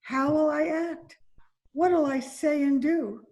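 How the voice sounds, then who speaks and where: A timid, gentle older lady down on her luck, acted by a woman in a radio play. She speaks in a high voice, slowly and hesitantly, with anxious politeness. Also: steady tone. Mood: frightened. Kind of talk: 1940s radio drama acting.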